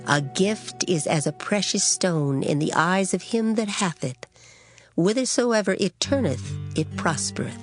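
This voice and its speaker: A woman with a slightly low voice reads scripture as dramatized narration. Her phrasing is solemn and deliberate, with expressive melodic movement and music clearly underneath. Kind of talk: dramatized King James Bible reading. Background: background music.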